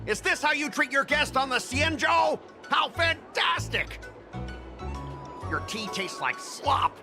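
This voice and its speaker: A man's voice: extremely high pitch, full character voice. A man voicing a rude, self-important complaining customer in an anime-style game, whiny and sarcastic, with music behind.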